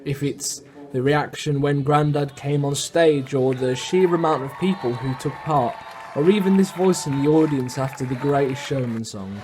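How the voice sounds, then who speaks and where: A man's voice: mid-range voice, normal speed, hardly any pauses, background noise. A man who makes amateur documentaries, speaking as a narrator and casual interviewer. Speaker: documentary maker and amateur pantomime performer.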